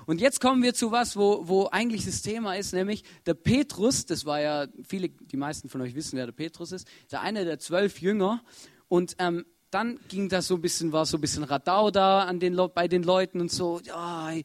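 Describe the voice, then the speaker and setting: A man's voice: sits high, dry recording, clean studio sound. A man giving a casual, chatty Bible lesson to a group, often checking in with his listeners. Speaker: youth Bible teacher.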